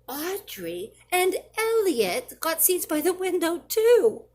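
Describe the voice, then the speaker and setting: A woman reading a picture book aloud to children, theatrically, with drawn-out emphasis. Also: extremely high pitch, sing-song, darkish tone. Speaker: children's story reader. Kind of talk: expressive read-aloud picture book narration.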